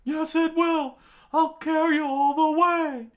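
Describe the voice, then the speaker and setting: A man voicing a frantic, stubbornly heroic young male pirate from an adventure story, in loud, earnest, strained shouts. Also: little pitch movement, slow, heavily acted. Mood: desperate, determined. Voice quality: strained high-pitched shouting.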